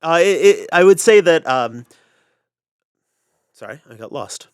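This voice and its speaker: A man's voice: a little high, lively intonation, a little slow, smooth, dry recording, studio quality. A man speaking as an interview guest, explaining a creative collaboration in a conversational way.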